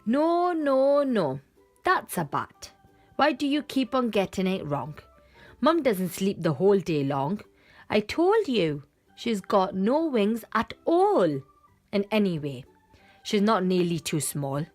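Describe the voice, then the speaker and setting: A woman's voice: medium pitch, very animated, slow, a little mellow, faint music behind. A woman voicing a small lost monkey child in a children's storybook, protesting emphatically as it searches for its mum. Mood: exasperated, protesting. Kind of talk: children's picture book read-aloud.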